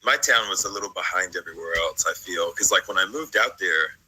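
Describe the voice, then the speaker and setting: A man's voice: sits low, brisk, sharp tone, husky, poor audio. An American man in his late thirties chatting casually in a rambling, jokey, slangy way as he hosts. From United States, partly South Carolina and Virginia. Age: late 30s. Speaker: host of a vlog. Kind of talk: casual reaction chat.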